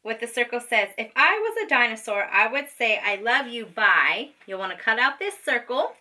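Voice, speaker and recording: Bright-voiced woman teaching a children's craft. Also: on the high side, very animated, normal speed. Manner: informal, patient, often checking in with "okay?". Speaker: special education teacher. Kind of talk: step-by-step craft lesson for children. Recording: distant microphone.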